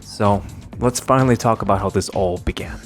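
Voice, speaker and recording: A man's voice: lowish voice, moderate pace, faint music behind. A man delivering scripted narration as the presenter of a video essay.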